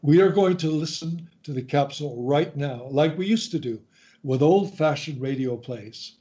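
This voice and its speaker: A man hosting a radio show, reading a scripted introduction in a deliberate, announcer-like way. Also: on the high side, expressive, dark tone. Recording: slightly dull, lo-fi sound.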